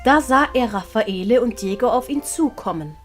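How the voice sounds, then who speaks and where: A woman's voice: fairly high pitch, animated, normal speed, soft background music. A woman with a Swiss German accent reading scripted storybook narration as an audiobook narrator.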